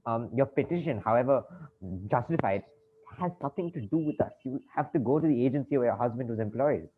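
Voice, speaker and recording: A man's voice: medium pitch, brisk, lightly acted. An actor playing a fussy, exasperated bank manager in a comic play, stammering politely as his patience frays. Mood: polite, strained. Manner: stammering, fussy, over-polite turning frantic. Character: flustered, exasperated male bank manager, polite but fraying. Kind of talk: comic stage-play acting. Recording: tinny band-limited audio, faint noise.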